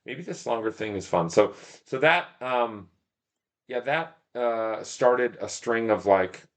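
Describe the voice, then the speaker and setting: A man's voice: medium pitch, lively intonation, takes it slowly. A man talking casually as a podcast guest, telling running stories in a rambling, self-deprecating way, thinking aloud. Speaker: podcast guest, ultramarathon runner and shop owner. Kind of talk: casual interview talk, telling stories about racing.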